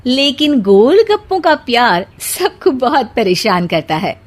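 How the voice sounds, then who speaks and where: A woman's voice: on the high side, big pitch swings, a little slow. A woman narrating a scripted cartoon story.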